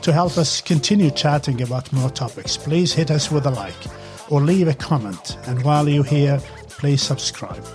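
A middle-aged man with a husky voice hosts a casual chat. He talks in a relaxed, halting way, with plenty of fillers and restarts. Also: a little high, faint music behind. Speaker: podcast host, father.

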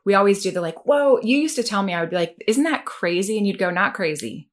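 A woman's voice: mid-range voice, lively intonation, fast. A woman hosting a casual chat, talking with enthusiasm about her childhood and about destiny. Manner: enthusiastic, reflective talker. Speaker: host.

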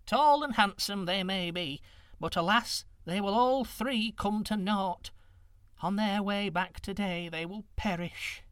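A man's voice: sits high, a little slow, a bit bright, smooth, dry recording, pitched above the performer's own voice. A man voicing a very old, wise woman who speaks in a prim, scolding, solemn way. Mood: sorrowful. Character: hundred-year-old wise woman, stern and sorrowful.

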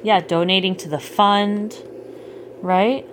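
A middle-aged woman chatting casually with a child at bedtime, gently explaining things and checking in with questions. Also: mid-range voice, steady tone, slow. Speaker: parent hosting a bedtime story podcast. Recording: clearly noisy home recording.

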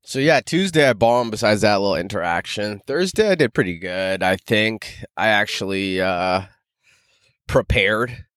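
A man's voice: fairly low pitch, fairly bright tone, no echo, clean studio sound. A man hosting a solo podcast, rambling casually and loosely in informal, sweary language like an amateur comedian.

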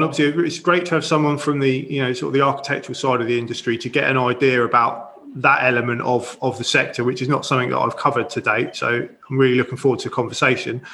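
A man's voice: medium pitch, fairly bright tone. A man hosting an interview podcast, introducing his guest and asking questions in a friendly, chatty way. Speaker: podcast host.

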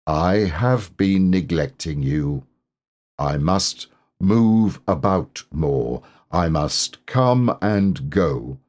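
A ponderous old donkey acted by a man reading a storybook, gloomy and sorry for himself. He drags his words out slowly in a low, husky voice. Every phrase is mournful and deliberate. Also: animated, darkish tone, full character voice.

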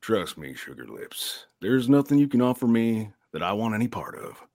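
Brash mutant strongman, acted by a man, lazy and dismissive, lively swinging delivery, radio play. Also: lowish voice, normal speed, no echo, clean studio sound. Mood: smug, dismissive. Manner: slangy, cocky, dismissive. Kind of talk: live radio play reading.

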